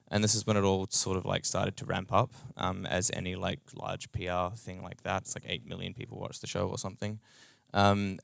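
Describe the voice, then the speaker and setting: A man with a New Zealand accent speaks in a fairly low, smooth voice that is captured very dry and close. He presents informally as a company co-founder, in a loose, slangy way with plenty of hedging. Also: fairly bright tone, no echo. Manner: casual, halting, slangy, hedging with "like" and "sort of". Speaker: startup co-founder, tech and growth executive. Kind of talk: informal conference talk.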